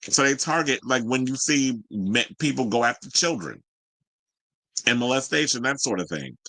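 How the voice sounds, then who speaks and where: Guy doing casual gossip commentary, bright voice, sassy and candid, super dry recording. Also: mid-range voice, lively intonation, normal speed, dry recording, poor audio. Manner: sassy, chatty, colloquial, candid. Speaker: host of a commentary show. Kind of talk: casual gossip news commentary to an online audience.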